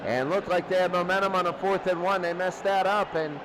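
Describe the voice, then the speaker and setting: A man with a high voice gives excitable play-by-play football commentary in a classic radio style. His calls swell with the action, over loud background noise. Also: brisk. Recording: noisy recording with loud background hiss.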